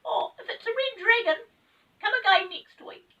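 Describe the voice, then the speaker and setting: A little storybook elf girl with a very high, bright voice. She is breezy and sing-song, calling out from a little way off the mic. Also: normal speed, far from the mic, highs cut off. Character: small fluffy-haired female elf, breezy and chatty, storybook.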